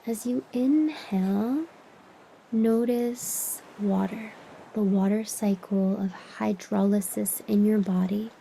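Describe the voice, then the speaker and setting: A woman leading a guided meditation as a teacher, soothing and unhurried, with long pauses and breathy phrase endings that drop toward a whisper. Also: mid-range voice, fairly even intonation, warm tone, slight background noise, rough recording. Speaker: meditation teacher and podcast host.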